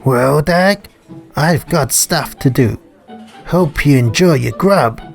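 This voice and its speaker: A friendly, boisterous bear from a visual novel, acted by a man. He is chatty and easygoing, with a lifted, higher pitch and music underneath. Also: expressive, slow, gravelly, exaggerated voice. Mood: breezy, friendly.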